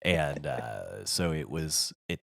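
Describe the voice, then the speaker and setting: A man with a strained, hoarse voice that is giving out, chatting casually and haltingly as a podcast co-host, poking fun at himself. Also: extremely low pitch, fairly bright tone, no echo, clean studio sound. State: losing his voice. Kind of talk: casual chat.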